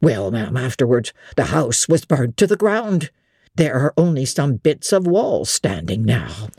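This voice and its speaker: A woman reads aloud as a gossipy old country manservant from a classic novel, his voice dropped low and husky. He drawls in rustic old-fashioned dialect, his pitch rising and falling with lively swings as he spins a grim tale in a storybook reading. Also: measured pace, dry recording, studio quality, heavily acted. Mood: grave. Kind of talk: dramatic audiobook reading.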